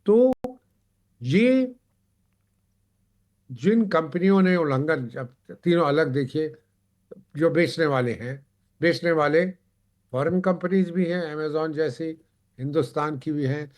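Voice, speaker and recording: A man explaining points as a TV panel guest, haltingly, often repeating and rephrasing his words. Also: fairly high pitch, a little mellow. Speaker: panel guest on a consumer affairs TV show.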